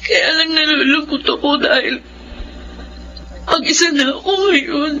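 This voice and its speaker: A woman's voice: sits high, fairly even intonation, a little slow, pushed and bright. A woman playing an effusive, girlish young cousin, openly emotional and grateful, in a Filipino radio drama. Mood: grieving, tearful. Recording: muffled audio with faint background noise.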